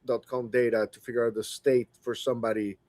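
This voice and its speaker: A man, an amateur radio operator, explaining contest software in a casual chat and often stumbling over his first words. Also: mid-range voice, little pitch movement, fairly quick, no room sound.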